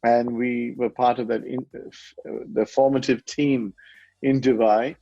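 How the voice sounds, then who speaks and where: A gravelly-voiced man in his forties from Sri Lanka, speaking as an interview guest. He rambles and corrects himself as he goes. The audio is dry and a bit dull. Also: mid-range voice, flat delivery, a little slow, mellow, dry recording, soft background music. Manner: rambling, self-correcting, chuckles often. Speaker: guest, wealth management founder. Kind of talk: conversational interview.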